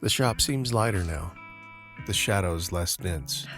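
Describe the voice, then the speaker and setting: A man's voice: deep voice, a little slow, music in the background. A man giving scripted narration for an audio drama, describing each scene.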